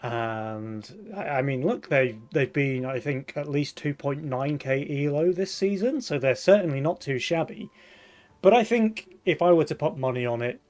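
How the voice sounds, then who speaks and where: A man's voice: a little high, expressive, moderate pace, darkish tone. A young man hosting a live esports broadcast, upbeat and laughing often as he runs the show. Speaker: esports caster and host.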